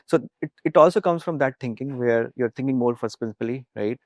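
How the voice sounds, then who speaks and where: A man's voice: medium pitch, fairly quick, a little mellow, dry recording, dull audio. A man with an Indian accent, a startup founder talking conversationally in an interview.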